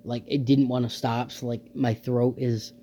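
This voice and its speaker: A man's voice: medium pitch, flat delivery, unhurried, mellow. A young man with a slightly scratchy, dry throat, chatting casually as he ranks creatures, with many ums and offhand opinions. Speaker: YouTuber ranking creatures in a tier list. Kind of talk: casual unscripted commentary.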